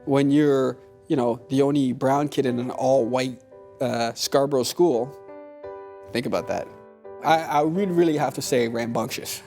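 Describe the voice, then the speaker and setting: A man recalling his rowdy youth in a documentary interview, measured and hesitant with fillers. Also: mid-range voice, darkish tone, faint music behind.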